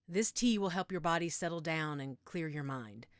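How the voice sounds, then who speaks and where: A woman's voice: deep voice, expressive, moderate pace, clean tone, no echo, clean studio sound. A woman playing a frank, caring female werewolf in an audio roleplay, matter-of-fact and reassuring with a wry edge. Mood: soothing, practical. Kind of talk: audio roleplay drama, one-sided conversation.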